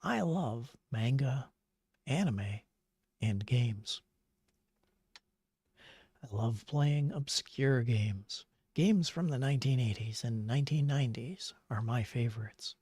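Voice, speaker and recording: A man's voice: medium pitch, slow pace, darkish tone, breathy edge, no echo. An older man, chatting casually on his livestream in a gushing way, with lots of fillers. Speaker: streamer.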